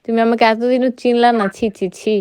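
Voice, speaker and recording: A woman's voice: fairly high pitch, level tone, fairly quick, a little mellow, clear, resonant tone, no room sound. A young woman with a Bangladeshi accent in a casual phone chat, mixing in some English. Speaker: prank caller.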